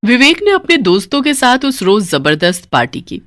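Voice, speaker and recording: A woman's voice: on the high side, big pitch swings, moderate pace, a bit bright, a little hiss or hum. A woman narrating a story in a dramatic, scripted storytelling style.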